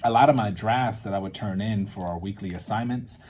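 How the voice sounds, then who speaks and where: A young man explaining in a casual, halting way, thinking aloud and often repeating and checking himself. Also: lowish voice, a little mellow, a little hiss or hum. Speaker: creator teaching a creative method.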